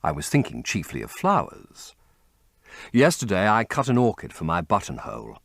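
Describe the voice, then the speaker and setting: A man voicing a languid, witty gentleman who drawls his remarks. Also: on the low side, wildly swooping pitch, moderate pace, creaky, no room sound, clean studio sound, full character voice. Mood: amused.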